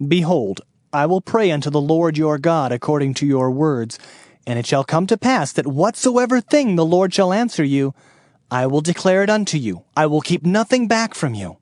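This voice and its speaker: A man playing a solemn prophet who delivers God's warnings in a dramatized scripture reading, with a measured, grave, declamatory manner. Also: a little high, big pitch swings, a little mellow, dry recording, studio quality.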